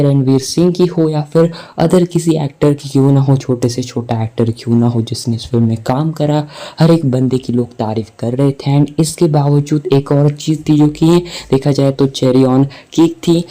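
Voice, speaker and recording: Dark, muffled-toned Indian man explaining films. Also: mid-range voice, moderate pace. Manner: wordy explainer mixing English words into sentences. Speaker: movie commentary YouTuber. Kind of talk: film breakdown commentary.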